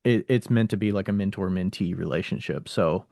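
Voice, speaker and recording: A man with a fairly low voice hosts a casual podcast conversation. He talks informally as he interviews his guest. The sound is dry, with hardly any room on it. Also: brisk, a little mellow, no room sound.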